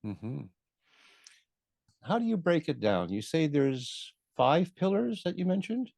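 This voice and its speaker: A man's voice: on the high side, big pitch swings, takes it slowly, gravelly, a little mellow, no room sound, studio quality. A man hosting an interview, asking questions in a hesitant way with many fillers. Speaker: interview host.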